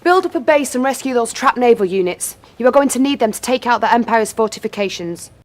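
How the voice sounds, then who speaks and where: A woman's voice: fairly high pitch, animated, fairly quick, close to an everyday voice. A woman playing a dutiful young female lieutenant in a video game, giving formal, urgent briefings. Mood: businesslike. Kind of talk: video game cutscene acting. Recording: faint background noise.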